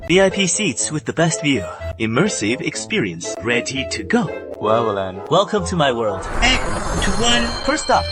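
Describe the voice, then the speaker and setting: A man's voice: on the high side, big pitch swings, unhurried. An actor dubbing a smug, calculating male mastermind. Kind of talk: anime motion-comic dub. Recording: voice under loud music.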